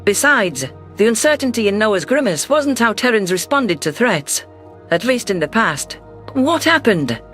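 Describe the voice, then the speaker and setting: A man reads a science fiction audio story as its narrator. His voice sits very high for a man, and soft music plays underneath. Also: sing-song, moderate pace. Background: faint music.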